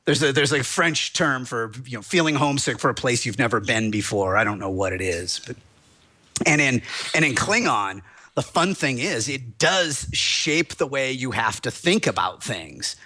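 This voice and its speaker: Excitable rambling man, bright tone. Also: mid-range voice, normal speed. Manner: rambling, excitable, many restarts. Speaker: lawyer guest. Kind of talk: animated podcast discussion.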